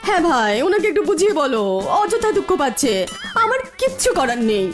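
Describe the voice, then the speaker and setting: A woman's voice: extremely high pitch, very animated, fairly quick, music in the background. A woman voicing a fussy, dramatic lady singer in a comic cartoon, complaining in a plaintive, theatrical way.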